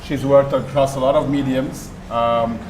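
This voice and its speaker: A man's voice: mid-range voice, steady tone, a little slow. A man hosting an onstage interview before an audience, courteous, asking long rambling questions with fillers. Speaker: host, interviewer. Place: event hall with audience. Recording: roomy hall with noise.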